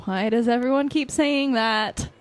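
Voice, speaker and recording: A woman's voice: on the high side, unhurried, lightly acted. A woman playing a chatty, frank young college student in casual audio drama dialogue. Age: young adult.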